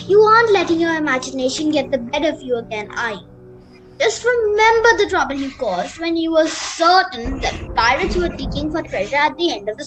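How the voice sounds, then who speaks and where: A woman's voice: very high voice, a bit bright, exaggerated voice, poor audio. A female performer playing a bossy, sensible young girl in an amateur radio play, scolding and matter-of-fact. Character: bossy, sensible young girl, impatient older-sister type.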